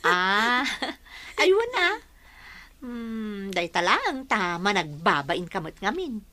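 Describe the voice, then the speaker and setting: A woman's voice: mid-range voice, very animated, slow pace, pushed and bright, exaggerated voice. An actress playing a teasing, gossipy village woman in an Ilocano radio drama, with an Ilocano accent. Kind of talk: Filipino radio drama acting.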